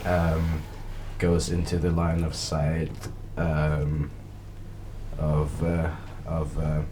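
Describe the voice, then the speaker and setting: Deep, slow, halting man over noise. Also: completely flat delivery. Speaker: tabletop game player. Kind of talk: casual improvised role-play narration.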